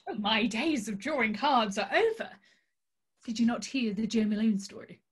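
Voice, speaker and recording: A woman plays a brisk, cynical character in a stage scene. The voice is husky and a bit high, with lively movement. The delivery is dry and impatient. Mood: impatient, wry. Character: brisk, cynical woman, former card reader.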